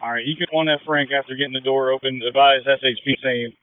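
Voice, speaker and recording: A man's voice: mid-range voice, steady tone, fast, clean tone. A man acting as fire incident commander, giving businesslike orders over a two-way radio. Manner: directive, businesslike. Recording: narrow muffled fire radio transmission.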